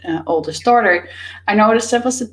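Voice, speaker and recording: A woman's voice: medium pitch, a little fast. A woman in her thirties from the Netherlands with a Dutch accent, answering interview questions in a thoughtful way with many fillers. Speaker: author and business coach, guest.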